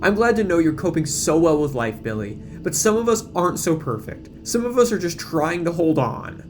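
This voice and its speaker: A woman's voice: lowish voice, sing-song, moderate pace, voice pushed up. A man voicing an upset, grieving teenage girl in an audio drama, hurt and pleading, with music behind. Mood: angry, hurt. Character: upset, defensive teenage high school girl, grieving her mother.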